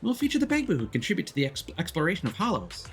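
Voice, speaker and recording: Man voicing an eager, earnest teenage game character, animated. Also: a little high, racing, pitched above the performer's own voice. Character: eager, earnest high-school photographer from a video game. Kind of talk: reading game dialogue aloud in character.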